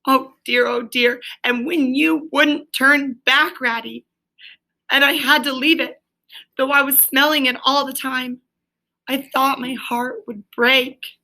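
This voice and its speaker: A small, heartbroken male mole from a storybook, played by a woman reading aloud. The voice is pitched high and bright, plaintive and broken, as he pleads for his little home. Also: fairly even intonation, takes it slowly, exaggerated voice, poor audio. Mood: tearful, pleading. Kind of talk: children's storybook read aloud.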